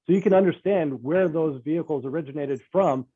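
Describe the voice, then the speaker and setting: A man's voice: a little high, fairly quick, noisy background. Male spokesman on a phone-like line. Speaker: company spokesman. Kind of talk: interview answers. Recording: thin phone-like sound.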